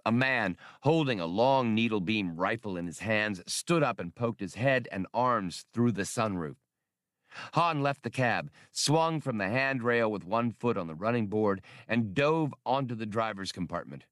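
A man's voice: fairly low pitch, animated, a little slow, fairly bright tone, no room sound, clean studio sound. A man reading an adventure novel as scripted, dramatic audiobook narration.

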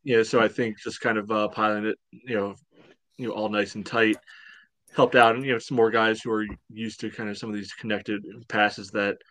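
A man's voice: fairly low pitch, flat delivery, brisk, fairly bright tone, dry recording. A man giving casual game analysis as a podcast co-host, rambling and hedging as he goes. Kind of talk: casual sports analysis chat.

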